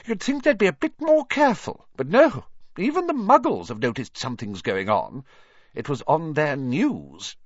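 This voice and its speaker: Guy doing a prim older witch teacher for an audiobook, high pitched, irritable n anxious, really swoopy. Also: big pitch swings, moderate pace, husky, dry recording, dull audio, full character voice. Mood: indignant. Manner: irritable, exasperated, clipped and indignant.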